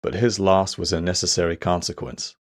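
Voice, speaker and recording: A man's voice: low voice, steady tone, brisk, close to an everyday voice. A man playing a cold, middle-aged crime syndicate boss in an audio drama, with a calculated, menacing manner. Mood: cold, calculating.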